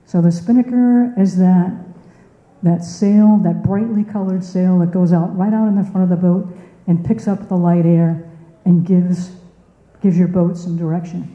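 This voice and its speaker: A woman with a very dark, mellow and slightly low voice gives an informal club presentation. She talks conversationally, asking questions of the room and repeating words for emphasis. The sound is echoey, bouncing around a meeting room. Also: steady tone, measured pace, muffled recording. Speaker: club district governor giving a speech.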